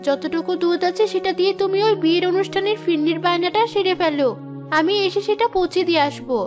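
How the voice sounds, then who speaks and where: A woman's voice: very high voice, fast talker, music in the background. A woman voicing a humble, anxious young village cook in a fairy-tale cartoon, earnest and polite. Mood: worried.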